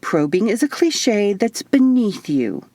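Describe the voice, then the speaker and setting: A woman's voice: mid-range voice, big pitch swings, slow pace, warm tone, no room sound, pitched above the performer's own voice, full character voice. A woman voicing a small, bubbly, teasing alien woman in human form for an audiobook, with a perky, playful delivery. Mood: indignant. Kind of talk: audiobook character dialogue.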